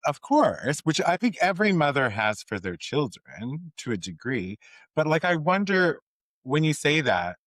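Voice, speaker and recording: A man interviewing casually as a podcast host, supportive and agreeable, feeling his way through questions haltingly. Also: on the high side, sing-song, fairly bright tone, dry recording. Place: remote video call.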